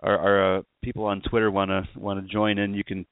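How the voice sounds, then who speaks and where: Low-voiced man hosting a podcast. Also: monotone, a little fast, dry recording, phone-quality sound. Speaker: podcast host. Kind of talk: casual sports chat.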